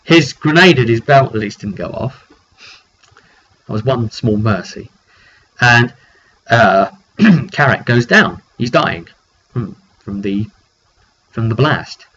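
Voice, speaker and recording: A man casually telling the story of a tabletop roleplay session as game master, in an animated way with repetitions and slang. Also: mid-range voice, slow pace, long pauses, slightly rough. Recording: dull lo-fi mic with background noise.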